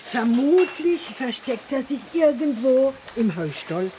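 A woman's voice: sits high, big pitch swings, takes it slowly, dark tone, a little hiss or hum, heavily acted, low-quality recording. Gossipy village farm woman with a French-tinged accent. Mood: gossipy, confiding. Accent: French-tinged Alsatian German. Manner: chatty, slips French phrases into talk. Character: gossipy village farm woman, plain-spoken neighbour in the cowshed. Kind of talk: radio play acting.

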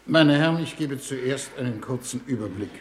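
A man playing a grave, authoritative foreign minister, speaking formally and with measured oratory in a 1950s radio drama. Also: medium pitch, slight background noise, lightly acted. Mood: grave, solemn.

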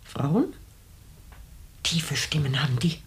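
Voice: mid-range voice, animated, takes it slowly. An actor in a radio play voicing a timid toll keeper roused from sleep, protesting in fearful whispers. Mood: startled, wary.

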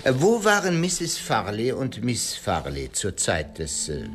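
Polite nosy detective in a radio mystery, low husky voice, really swoops around. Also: male voice, wildly swooping pitch, noisy background, full character voice. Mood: polite, inquisitive. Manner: polite, deliberate, inquisitive questioning. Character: courteous, probing male private detective. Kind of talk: German radio crime drama acting.

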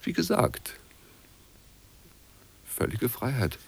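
An actor playing a brusque, impatient wealthy client in a radio play, with a gravelly, husky voice. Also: male voice, mid-range voice, very animated, drawn-out words, slight background noise, exaggerated voice. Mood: impatient, dismissive. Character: curt wealthy male client of a painter, impatient. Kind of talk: German radio play acting.

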